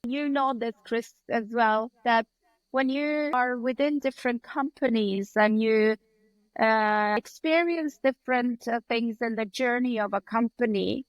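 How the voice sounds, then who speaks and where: A woman's voice: fairly high pitch, unhurried, no room sound, muffled recording. A woman in her forties from Iran, speaking as a podcast guest in a thoughtful, explanatory, reflective way. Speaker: podcast guest, leadership consultant. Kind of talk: reflective interview talk.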